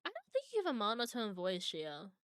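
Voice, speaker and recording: A woman's voice: medium pitch, wildly swooping pitch, brisk, fairly bright tone, clean tone, dry recording, clean studio sound. A young adult woman streaming from home, chatting casually with viewers and answering their comments.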